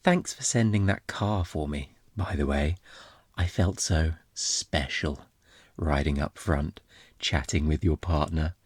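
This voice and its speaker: A man playing an obnoxiously flirty, smug thief in an intimate ASMR roleplay, teasing in a playful, theatrical, drawn-out way. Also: rumbling low, darkish tone, no room sound, full character voice.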